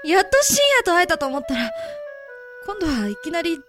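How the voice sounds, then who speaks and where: A woman's voice: very high-pitched, very animated, normal speed, over music. A woman playing an anxious but capable young medical student in a Japanese amateur voice drama. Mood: frightened, confiding.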